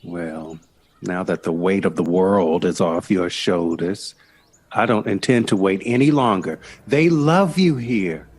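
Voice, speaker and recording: An actor playing a devoted, teasing lover in an audio drama, affectionate and dryly playful, with a husky, gravelly voice. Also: male voice, deep voice, a little slow, darkish tone. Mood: tender, pleading. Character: devoted, teasing male lover of a jazz composer.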